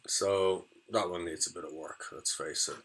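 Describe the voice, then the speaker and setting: A man with a deep voice and a bright, forward edge gives an informal demonstration. His delivery is halting and hesitant, as if feeling his way through the explanation. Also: fairly even intonation, husky, rough recording. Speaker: music student demonstrating audio effects.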